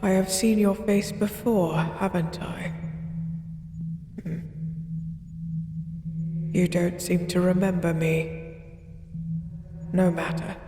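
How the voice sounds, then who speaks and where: A voice actor playing a mysterious protective deity that commands and soothes in an unhurried voice. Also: medium pitch, little pitch movement. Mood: gentle. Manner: commanding when it banishes, soft and soothing after. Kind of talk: horror audio roleplay acting. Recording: distant voice in a roomy echoing hall with music.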